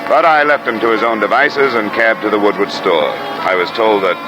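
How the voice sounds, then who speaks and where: An actor playing a dry, businesslike American insurance investigator in a radio detective drama, terse and deadpan. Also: male voice, on the low side, moderate pace, music in the background, lightly acted. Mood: dry narration. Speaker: lead and narrator. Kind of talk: 1950s radio detective drama, narration and dialogue. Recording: noisy old radio recording.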